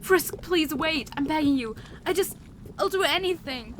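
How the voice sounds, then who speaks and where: A repentant female adversary, acted by a woman in an audio drama. Her voice is high, earnest and halting as she pleads, with slight noise behind it. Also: expressive. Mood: desperate, pleading. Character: Nemesis, remorseful pleading female enemy of the hero.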